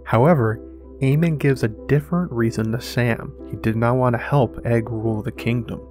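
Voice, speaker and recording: A man's voice: mid-range voice, fairly even intonation, normal speed, a little mellow, soft background music. A man presenting scripted, analytical commentary as the host of a fantasy lore show.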